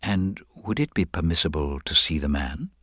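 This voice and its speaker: A man's voice: sits low, lively intonation, moderate pace, a bit bright, no room sound, studio quality. A man playing a mild, apologetic government investigator in a detective radio drama, hesitant, formal and precise. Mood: deferential.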